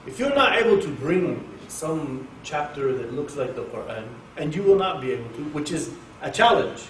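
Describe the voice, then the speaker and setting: A man's voice: fairly high pitch, moderate pace. Male Quran teacher from Oklahoma, casual and joking, picked up from a distance with room echo and light hiss. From Oklahoma, USA. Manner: jokey, animated, talks to the audience. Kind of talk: informal religious class. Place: mosque hall with an audience. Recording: echoey room, distant mic, faint hiss.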